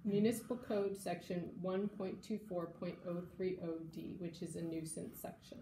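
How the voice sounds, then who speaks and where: A woman's voice: on the low side, fairly even intonation, normal speed, mellow. A woman speaking as a city official at a hearing, explaining procedure in a formal, hesitant way. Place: indoor hearing room. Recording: echoey room, faint background noise.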